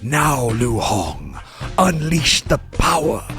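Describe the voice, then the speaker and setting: A man's voice: mid-range voice, very animated, takes it slowly, fairly bright tone, heavily acted. A man acting a grave, portentous kung-fu commander in an improvised radio drama. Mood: solemn. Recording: music behind the voice.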